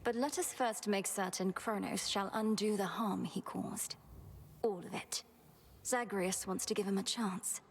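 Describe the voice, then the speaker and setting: Determined young princess. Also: female voice, mid-range voice, measured pace, breathy edge. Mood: resolute. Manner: earnest, formal, self-possessed. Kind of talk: video game cutscene acting.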